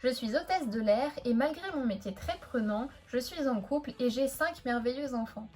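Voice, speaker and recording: A woman voicing a forty-six-year-old female flight attendant in a toy-figure parody, talking hesitantly with many filler pauses. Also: sits high, darkish tone, natural voice. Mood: introducing.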